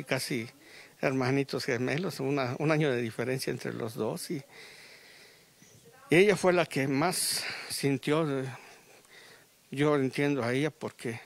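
A middle-aged man with a Mexican Spanish accent, a grieving father speaking in a live news interview outdoors at a funeral, halting with many restarts, into a handheld reporter mic. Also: mid-range voice, vocal fry. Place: outdoors under a tent at a funeral.

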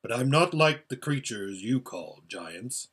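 A man voicing an unseen great lion in a storybook, solemn and measured, with a large deep voice. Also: sing-song, a bit bright, slightly rough, heavily acted. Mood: calm, solemn.

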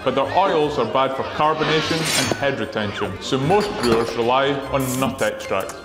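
A man's voice: mid-range voice, normal speed, loud music behind the voice. A man in his thirties co-hosting a show, telling puns and jokes. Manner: pun-telling, bouncy. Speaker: co-host. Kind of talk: joking casual chat. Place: outdoor farm and open barn.

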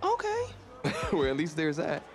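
An actor playing a cocky but loyal young college football player in casual, joking video game dialogue. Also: male voice, a little high, huge pitch swings, a little slow, over music, heavily acted. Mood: playful. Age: young adult. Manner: playful, casual banter.

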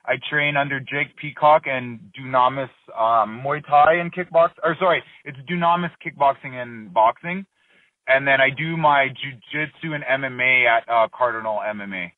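A man in his thirties, a fighter being interviewed, talking in a relaxed, rambling way full of fillers and restarts. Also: medium pitch, moderate pace, no room sound. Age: 30s. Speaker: MMA fighter, interview guest. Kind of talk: casual phone interview. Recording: dry tinny phone line.

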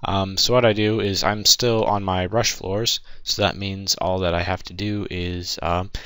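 A man's voice: sits low, flat delivery, measured pace, a bit bright, clear, resonant tone, dry recording. A young man narrating his gameplay in a casual, rambling way with many fillers, his voice worn and a little sick. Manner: rambling, filler-heavy, matter-of-fact. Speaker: gaming channel host.

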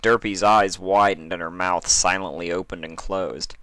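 A man's voice: deep voice, fairly even intonation, normal speed, bright, forceful tone, clear, resonant tone, no room sound, clean studio sound. A man reading a story aloud as a scripted narration. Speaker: fan fiction reader.

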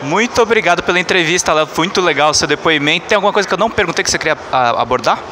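A man's voice: fairly high pitch, animated, very fast. A man in his thirties with a Brazilian Portuguese accent, asking casual questions as an interviewer. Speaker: interviewer, host. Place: indoor festival venue, by a booth wall. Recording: loud busy event-floor noise.

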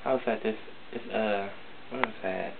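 A man's voice: on the low side, brisk, vocal fry. A man voicing a sassy, flamboyant young male cousin who tells a boastful, tough story in a comedy skit, recorded in a basement. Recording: tinny lo-fi audio with hiss.

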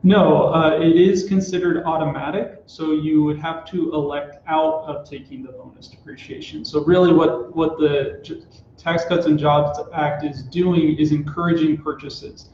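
A man's voice: a little high, fairly even intonation, normal speed, darkish tone, slight background noise. A man in his thirties, a tax expert explaining rules steadily in an office interview, with frequent "uh"s. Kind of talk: explaining tax rules in a live company broadcast. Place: small office room. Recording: roomy, dull office recording.

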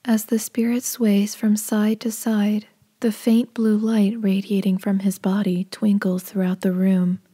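A woman acting as the detached, eerie narrator of a horror game, reading in a soft, breathy hush. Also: mid-range voice, little pitch movement, a little slow. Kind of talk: scripted narration, hushed.

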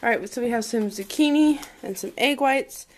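High-voiced woman chatting casually, noisy recording. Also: normal speed. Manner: rambling, thinking aloud. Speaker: home vlogger. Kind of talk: casual chat, showing a grocery haul. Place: indoors at a home dining table. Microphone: handheld camera microphone. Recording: noisy handheld camera audio with clicks.

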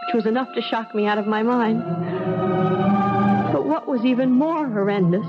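An actress playing a frightened, earnest young bride lost in time, in a 1970s radio drama. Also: female voice, on the high side, lightly acted. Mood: horrified. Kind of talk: 1970s radio drama acting, first-person narration and dialogue. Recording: dull lo-fi 1970s radio broadcast. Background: dramatic music.

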